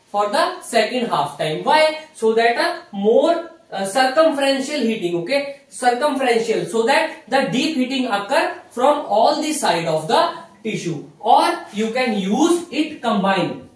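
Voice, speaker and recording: Echoey high-voiced Indian man lecturing. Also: big pitch swings, measured pace. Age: young adult. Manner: explanatory, repeats key phrases. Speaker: physiotherapy lecturer. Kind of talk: whiteboard lecture. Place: small indoor room with whiteboard. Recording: very echoey room, distant mic.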